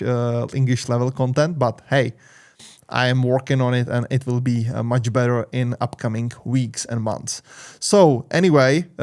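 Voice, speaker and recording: A man's voice: mid-range voice, a little mellow. A young man with a Czech accent, a native Czech speaker, hosting casual tech news commentary with frequent fillers and restarts. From Czech Republic. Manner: hesitant, many fillers and restarts.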